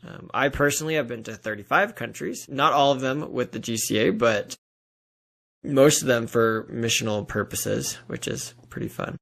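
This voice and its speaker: A man's voice: medium pitch, normal speed, creaky, fairly bright tone. A man from Colorado with an American accent, talking in a chatty, anecdotal way as a guest in a casual interview. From Denver area, Colorado, US. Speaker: interview guest, fiction author.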